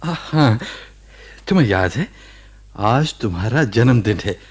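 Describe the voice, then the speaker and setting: A gentle, ailing husband in a radio play. His delivery is slow and earnest, with a very lively melody and a husky grain. He leaves tender pauses between phrases. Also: medium pitch. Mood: tender, teasing. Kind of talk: Hindi radio drama acting.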